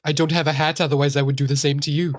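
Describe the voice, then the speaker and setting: A woman's voice: low-pitched, level tone, rapid-fire, no room sound. Wry woman playing a tabletop game, dry sound. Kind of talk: casual in-character improv.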